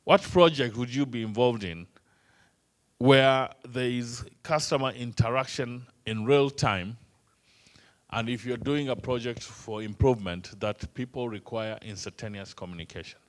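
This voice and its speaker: A man's voice: mid-range voice, a little slow. Kenyan man quizzing a workshop room. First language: Swahili. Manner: teasing, asks the room many questions. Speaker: workshop trainer. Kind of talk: interactive training session.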